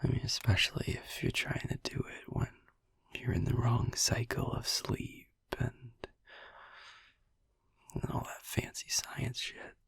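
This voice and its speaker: Man playing a slow whispering, gravelly vampire boyfriend. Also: medium pitch, steady tone, dry recording, full character voice. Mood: hushed, soothing. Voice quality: breathy whisper with gravelly creak. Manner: slow, hushed, gently musing with long pauses. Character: soothing, affectionate vampire boyfriend lulling listener to sleep. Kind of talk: whispered ASMR sleep-aid roleplay, slow and rambling. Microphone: close microphone, dry.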